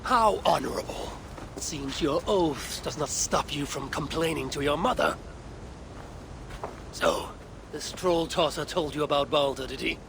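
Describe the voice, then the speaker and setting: An actor playing a proud, wrathful elder god-king in a video game, gravelly and grandly archaic in his speech. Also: a little high, unhurried, background noise. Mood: brooding. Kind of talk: dramatic video game acting.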